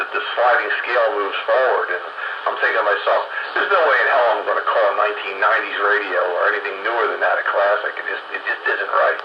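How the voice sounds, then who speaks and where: Through a muffled, echoey link comes a middle-aged man with a lowish voice. He talks casually and bluntly, rambling on and stressing his opinions. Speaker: host of a hobby radio round-table. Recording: muffled, very noisy, echoing radio link.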